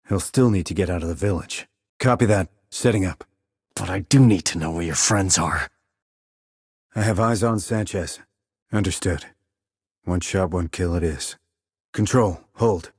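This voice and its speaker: A man voicing a gruff military sniper in a video game, giving clipped, deadpan, controlled lines in a low, husky voice. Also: long reverb tail, full character voice. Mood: menacing. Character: gruff male military sniper operative, cool and businesslike. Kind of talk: video game voice acting, terse radio reports.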